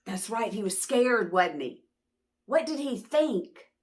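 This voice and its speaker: Slow-paced woman reading to preschoolers. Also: mid-range voice, animated. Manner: questioning, explaining simply to children. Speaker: children's storyteller, channel host. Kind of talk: picture-book read-aloud, talking to preschoolers.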